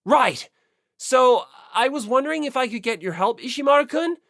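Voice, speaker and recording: Narrator doing a nice unsure high school boy, kinda high, polite n earnest, audiobook. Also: animated, moderate pace, fairly bright tone, no echo, clean studio sound. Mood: tentative, worried. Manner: tentative, mild. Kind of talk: audiobook character voice.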